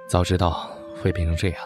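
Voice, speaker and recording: An actor playing a devoted army brigade commander in an audio drama, weary and sincere, with a gently teasing way. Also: male voice, sits low. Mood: weary, sombre. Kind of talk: Chinese audio drama acting. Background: background music.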